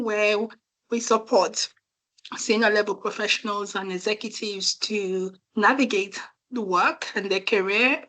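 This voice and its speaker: Woman coach with a slightly croaky voice, polished panel intro over a call. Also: medium pitch, bright, forceful tone, rough recording. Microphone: video call.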